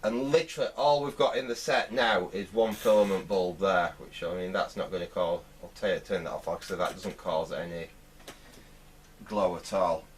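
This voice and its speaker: A man giving unscripted hands-on repair commentary, thinking aloud in a halting way as he works. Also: low-pitched, recorded from a distance, slight background noise. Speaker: host of a vintage radio repair channel.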